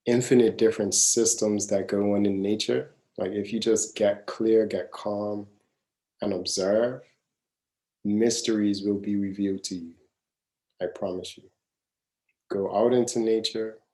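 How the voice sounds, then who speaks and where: A man's voice: fairly low pitch, mellow. A man in his thirties giving a live wellness masterclass, talking casually and earnestly and repeating phrases for stress. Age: 30s. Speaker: wellness coach. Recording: echoey room.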